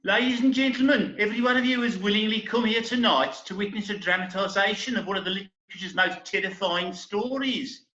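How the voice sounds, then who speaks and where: Earnest, slightly flustered theatre compere, acted by a man, bright and high-pitched, roomy lo-fi sound. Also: fairly quick, hardly any pauses. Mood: grand, welcoming. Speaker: amateur actor in a remote group reading. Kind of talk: comic stage-play reading, addressing the audience. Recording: echoey, dull internet call recording.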